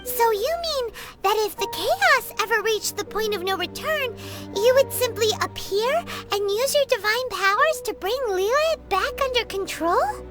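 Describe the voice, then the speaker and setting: A tiny fairy sidekick with an extremely high, childlike voice. The pitch bounces around a lot as she blurts things out in blunt, indignant exclamations. It is game dialogue with loud music playing behind it. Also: animated, measured pace, few pauses. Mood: questioning. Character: small childlike fairy companion, excitable and blunt. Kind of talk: video game character acting.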